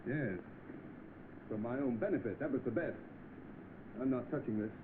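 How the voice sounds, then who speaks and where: A man's voice: mid-range voice, moderate pace, lightly acted. An actor playing an earnest, self-doubting salesman in an old film drama, polite, hesitant and easily flustered. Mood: determined. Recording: tinny, noisy old film soundtrack.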